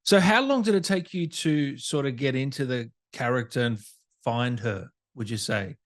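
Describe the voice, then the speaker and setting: A man speaks as a podcast interviewer in a casual, conversational way. His mid-pitched voice moves up and down a great deal as he asks questions and reacts. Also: expressive, moderate pace.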